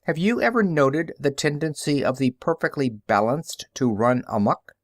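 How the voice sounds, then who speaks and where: A man's voice: on the high side, lively intonation, normal speed, dry recording, studio quality, voice pushed up. A man voicing an impatient old male scientist in an audiobook, snapping and emphatic as he probes. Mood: excited, probing.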